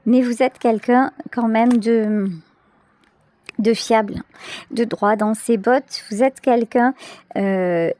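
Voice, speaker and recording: A woman giving a tarot reading straight to the listener, reflective and pausing to search for her words. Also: on the high side, darkish tone, dry recording. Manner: hesitant, reflective, searching for words with pauses and repetitions. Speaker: tarot reader, channel host. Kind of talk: intuitive tarot reading addressed to the viewer.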